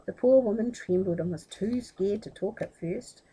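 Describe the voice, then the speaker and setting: A woman storyteller reading a children's tale aloud expressively, with animated, chatty asides. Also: medium pitch, normal speed, husky, poor audio.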